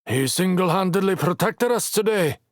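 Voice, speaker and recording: A man's voice: high voice, animated, normal speed, dry recording, clean studio sound, voice pushed up. A man playing a bantering loyal foreman.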